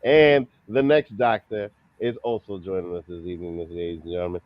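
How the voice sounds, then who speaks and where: Low-voiced man hosting, dull dry sound. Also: fairly even intonation, fairly quick, no room sound. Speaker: host of a movie review show. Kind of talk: casual panel discussion with show-style introductions.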